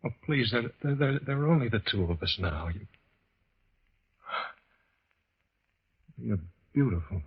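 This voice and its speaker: An actor playing an obsessed husband entranced by a phantom, intense and melodramatic in a 1950s radio horror style. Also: male voice, mid-range voice, animated, brisk, slightly rough. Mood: entranced, hushed. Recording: dull, lo-fi vintage radio recording.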